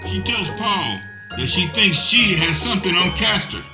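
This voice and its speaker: A man narrating a radio drama in a measured storytelling manner. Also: high voice, expressive. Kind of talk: scripted narration. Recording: muffled with music underneath.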